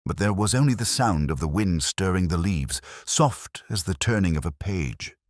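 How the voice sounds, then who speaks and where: Very deep, brooding older detective played by a man. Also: lively intonation, dry recording, clean studio sound. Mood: weary, reflective. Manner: measured, literary, solemn. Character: melancholy, weary, brooding older male police detective narrator. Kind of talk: scripted first-person detective story narration.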